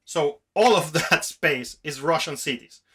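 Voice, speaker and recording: Male podcast host, slightly high and very bright, forceful voice, earnest rambling conversational delivery. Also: expressive, a little slow, slightly rough. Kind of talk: casual, reflective podcast conversation.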